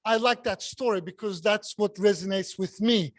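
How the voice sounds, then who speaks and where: A man's voice: high voice, normal speed, dry recording, studio quality. A man who speaks English as a second language, giving a jokey, self-mocking speech at a club meeting and repeating words for emphasis. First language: not English.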